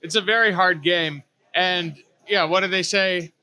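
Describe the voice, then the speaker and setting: A man's voice: high voice, steady tone, a little slow, pushed and bright. Young male interview host. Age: 20s. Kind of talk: scripted intro, then casual interview. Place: on a cruise ship.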